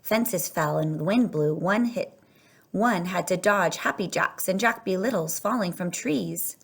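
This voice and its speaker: Female storyteller for a children's picture book read-aloud, lower register, expressive delivery with drawn-out emphasis. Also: vocal fry, breathy edge.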